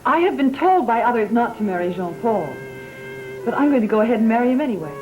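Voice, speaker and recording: A woman's voice: a little high, very animated, quick pace, phone-quality sound. An actress playing a resolute, unafraid young bride-to-be in a gothic soap opera, composed and firm in her delivery. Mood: defiant. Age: young adult. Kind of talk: 1960s-70s gothic soap opera acting. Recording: old TV soundtrack with background music.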